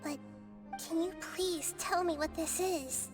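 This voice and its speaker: A woman voicing a gentle, curious young girl goddess in a game cutscene, speaking softly and earnestly. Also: extremely high pitch, slow, over music. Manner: soft, earnest, reassuring.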